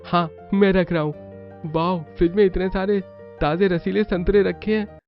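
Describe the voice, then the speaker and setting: A man voicing an excitable, eager grown son in a cartoon family. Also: sits high, normal speed, muffled recording, soft background music, voice pushed up. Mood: excited.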